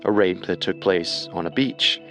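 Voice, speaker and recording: A man co-hosting a scripted true-crime show in a measured, serious, analytical manner. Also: deep voice, fairly bright tone, soft background music.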